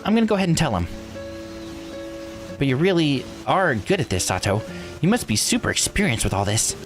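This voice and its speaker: A man voicing an earnest, expressive young character in a visual novel, talking in an animated, conversational way. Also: on the high side, brisk, music in the background. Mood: shy gratitude.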